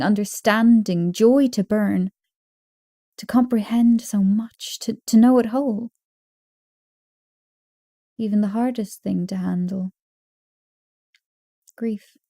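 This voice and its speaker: Slow, mellow woman reading poetry. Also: mid-range voice, fairly even intonation, no room sound, clean studio sound. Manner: measured, earnest, dramatic phrasing. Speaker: poetry narrator.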